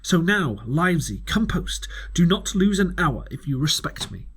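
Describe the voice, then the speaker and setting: Storybook squire, played by a male reader: grandiose, excitable and emphatic, with big pitch swings and a rough-edged voice. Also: normal speed, heavily acted. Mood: exultant. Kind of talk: storybook letter read aloud.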